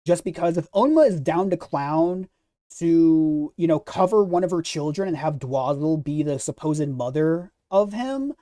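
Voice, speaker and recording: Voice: medium pitch, dark tone, no room sound, studio quality. A host talking casually through theories on a discussion stream, hesitantly repeating words while thinking aloud. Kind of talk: casual theory chat, responding to live comments.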